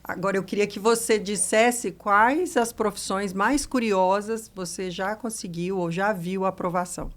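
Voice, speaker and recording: A female podcast host with a Brazilian accent speaks at a medium pitch. She interviews in a conversational style, putting questions and comments to her guests. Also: unhurried, few pauses.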